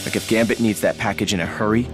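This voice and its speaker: A man's voice: fairly low pitch, steady tone, fast, close to an everyday voice. An actor playing a determined, guarded young male mutant in a video game, with terse, self-assured delivery. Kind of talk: video game cutscene voice acting. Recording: loud music behind game dialogue.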